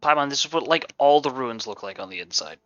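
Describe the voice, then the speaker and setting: A man's voice: medium pitch, lively intonation, fast talker, muffled recording. A man streaming a video game with casual, wisecracking commentary full of playful bravado. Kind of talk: casual live gameplay commentary. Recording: soft game music behind.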